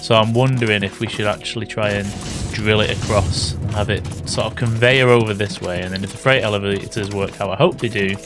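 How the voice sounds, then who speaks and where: A British man with a slightly raspy voice, thinking aloud and correcting himself as he casually commentates a building game. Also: lowish voice, fairly even intonation, brisk, over music. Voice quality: slightly raspy from early morning. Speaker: gaming YouTuber. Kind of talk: casual let's-play commentary.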